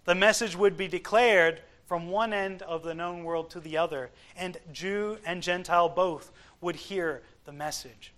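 A man preaching an expository sermon, explaining scripture in deliberate, emphatic short phrases. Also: high-pitched, fairly bright tone.

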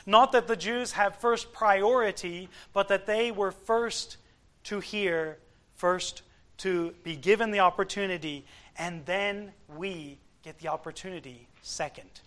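A man preaching an expository sermon, explaining scripture in deliberate, emphatic short phrases. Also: sits high, sharp tone.

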